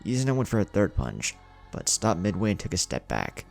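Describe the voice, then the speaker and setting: Male storyteller, very low and gravelly, lively delivery of scripted fiction over music. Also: brisk. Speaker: narrator.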